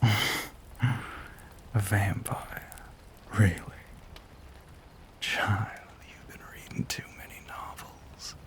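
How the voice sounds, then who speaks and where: A man playing a lonely, brooding Southern gentleman vampire in an intimate audio roleplay, with a Southern US accent, a breathy voice and genteel, old-fashioned phrasing. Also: on the low side, extremely slow, pauses often. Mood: dismissive, weary. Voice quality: breathy, whispery.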